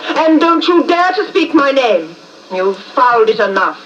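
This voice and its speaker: Bitter widow facing her enemy, high-pitched and theatrical, in a dull old radio recording. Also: sing-song, measured pace, a bit bright, slight background noise, full character voice. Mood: bitter, grieving. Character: vengeful, grief-stricken veiled widow confronting her blackmailer. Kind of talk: 1950s radio drama acting. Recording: muffled distant 1950s radio recording.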